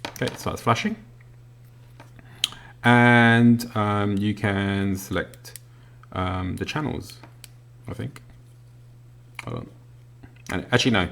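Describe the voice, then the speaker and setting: A man's voice: lowish voice, takes it slowly, vocal fry, pauses often, a bit bright. A man giving a casual, unscripted product review in a hesitant, matter-of-fact way, indoors at a table. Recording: noisy home recording with clicks.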